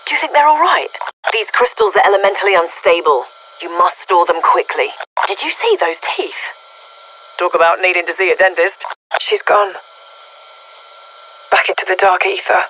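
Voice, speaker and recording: A woman's voice: on the low side, big pitch swings, normal speed, pauses often, highs cut off, a little hiss or hum. An actress voicing a wry, inquisitive female research scientist in a video game, speaking expressively through a radio filter. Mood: wry concern. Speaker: video game mission commander. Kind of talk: scripted video game voice acting.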